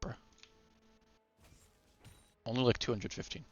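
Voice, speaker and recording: A man from Israel casting a live esports match, calm and dry in his play-by-play. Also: mid-range voice, a little slow, slightly rough, no echo, highs cut off, faint music behind. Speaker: esports caster. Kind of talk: live game commentary.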